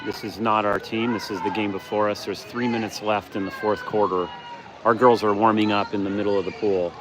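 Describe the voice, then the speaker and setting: A man's voice: fairly low pitch, steady tone, a little fast. A man hosting a casual live stream at an outdoor pool, chatting and explaining to viewers. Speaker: livestream host and team parent. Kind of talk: casual livestream commentary. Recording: noisy outdoor phone recording.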